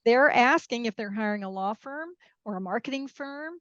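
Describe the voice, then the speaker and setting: A woman with a fairly high voice speaks as an interview guest, explaining things with emphasis and checking in as she goes. The sound is dry and a little dull, as in a lo-fi podcast recording. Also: lively intonation, normal speed, fairly bright tone, smooth, dry recording. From Peru and Mexico. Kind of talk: conversational interview commentary.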